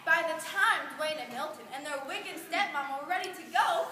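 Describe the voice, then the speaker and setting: A woman's voice: high voice, moderate pace, pushed and bright. A woman narrating a scripted storybook tale in amateur reader's theater. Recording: very noisy echoey room, distant microphone.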